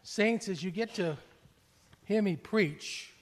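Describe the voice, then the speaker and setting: A middle-aged man with a Boston accent, a pastor preaching a sermon from the pulpit, earnest, with rising calls and soft drops. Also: high-pitched, slow pace. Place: large church sanctuary. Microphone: stand mic at pulpit, far camera. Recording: long church reverb.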